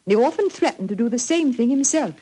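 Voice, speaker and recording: Casting: genteel, coy noblewoman, highish husky voice, old radio mystery. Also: lively intonation, fairly quick, mellow. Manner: polite, coquettish, genteel. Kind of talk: 1940s radio acting.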